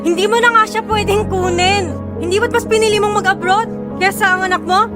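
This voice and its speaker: A possessive, scornful rival in an audio melodrama. She speaks extremely high, with clear music behind her. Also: steady tone, a little fast. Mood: defiant, accusing. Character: possessive, scornful rival woman claiming another's child. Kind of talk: melodramatic audio drama acting. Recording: voice over dramatic music.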